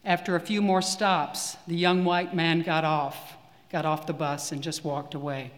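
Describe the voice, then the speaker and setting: A man preaching a sermon in a church, measured and earnest with deliberate pauses. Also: fairly high pitch, little pitch movement, fairly bright tone. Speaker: priest. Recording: long reverb of a church hall.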